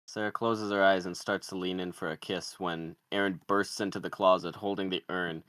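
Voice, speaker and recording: A man's voice: low voice, level tone, normal speed, a bit bright, clear, resonant tone, dry recording, clean studio sound. A man narrating, reading out the stage directions of a screenplay.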